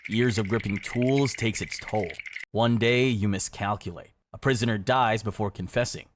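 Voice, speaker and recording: A man reading dramatic scripted second-person narration in a grave, measured storytelling manner. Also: fairly low pitch, background noise. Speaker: video narrator. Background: background music.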